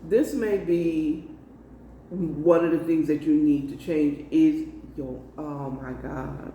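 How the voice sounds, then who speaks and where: American woman, unhurried, mellow dark tone, tarot reader, emphatic and repetitive, echoey distant mic. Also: sits low, fairly even intonation, lo-fi sound. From United States. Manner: emphatic, repetitive coaxing with frequent check-in tags. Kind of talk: casual spiritual card reading. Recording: roomy, distant mic with faint noise.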